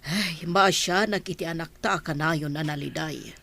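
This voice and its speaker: Slow, lowish concerned matriarch, acted by a woman. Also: gravelly, fairly bright tone, full character voice. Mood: worried. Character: concerned, slow-spoken mother and grandmother. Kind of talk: Ilocano radio comedy drama acting.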